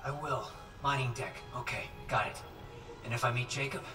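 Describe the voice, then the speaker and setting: A man playing a gruff, stubborn space engineer, terse and dry, in a naturalistic video game style. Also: medium pitch, steady tone, moderate pace, close to an everyday voice. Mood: steady, businesslike. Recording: echoey, distant helmet sound under music.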